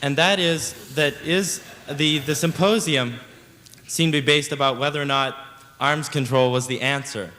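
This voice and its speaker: A man's voice: fairly high pitch, fairly even intonation, a little slow, sharp tone. A young man, a student, asking an earnest, wordy question from the floor at a town hall. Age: young adult. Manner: earnest, wordy, searching for words. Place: large lecture hall. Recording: long reverb in a large hall.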